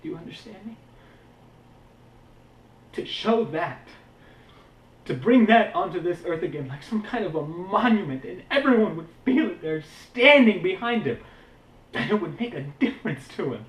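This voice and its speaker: A man playing an earnest, guilt-burdened young war veteran in a halting, intense, confessional stage monologue. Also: sits high, lively intonation, recorded from a distance. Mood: impassioned. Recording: roomy, echoing indoor recording.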